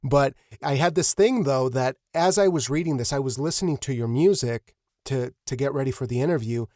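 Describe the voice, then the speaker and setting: A man hosting an interview in casual, reflective talk, effusive and earnest with halting phrasing. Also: mid-range voice, warm tone, no room sound, studio quality. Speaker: podcast host, interviewer.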